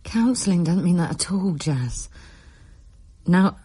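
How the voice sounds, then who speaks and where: A woman's voice: on the low side, animated, takes it slowly, mellow, slightly rough, full character voice. A woman playing a protective, firm mother in a radio drama, with a maternal manner. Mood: concerned, firm.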